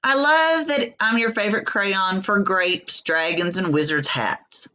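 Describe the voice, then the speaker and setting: A woman's voice: medium pitch, a little slow, pushed and bright. A woman voicing a fussy, very neat storybook crayon, insistent and exasperated.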